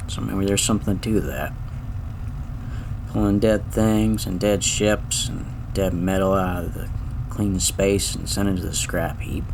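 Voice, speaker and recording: Slow, gravelly, weary space garbageman. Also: on the low side, noisy background. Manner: folksy, rambling, reflective, wry. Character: lonely, wry, weary space garbage man, dying. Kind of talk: audio drama monologue.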